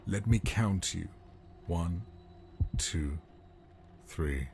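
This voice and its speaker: A man voicing a demanding, sinister little monster prince in a bedtime storybook, with theatrical, drawn-out delivery. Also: low voice, creaky, darkish tone, slight background noise, exaggerated voice. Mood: tired, demanding.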